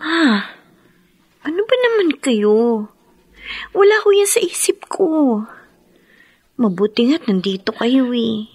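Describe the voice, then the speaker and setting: A woman's voice: a little high, sing-song, takes it slowly, pauses often, a little mellow, husky, dry recording, heavily acted. A woman playing an anxious young wife and dutiful daughter in a horror radio drama, with emotional, tremulous delivery. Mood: troubled, subdued.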